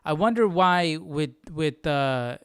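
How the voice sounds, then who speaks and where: A man hosting a Bible study livestream, thinking aloud in a casual, informal way and often halting to search for words. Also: fairly high pitch, animated, smooth, no echo, studio quality.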